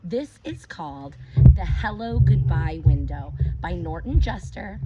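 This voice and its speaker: A woman teacher reading a picture book aloud to children, gently stopping to ask them questions. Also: on the low side, lively intonation, measured pace, loud music behind the voice. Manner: gentle, asks children questions between pages. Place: outdoors with her dogs. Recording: dull lo-fi recording with clear background noise.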